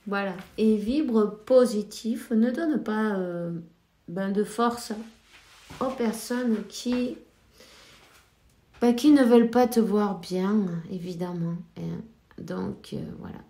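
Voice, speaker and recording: Slow, mellow-voiced woman with a cold. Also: mid-range voice, roomy sound, a little hiss or hum. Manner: slow, hesitant, many fillers. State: has a cold. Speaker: spiritual card reader. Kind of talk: casual spiritual advice talk.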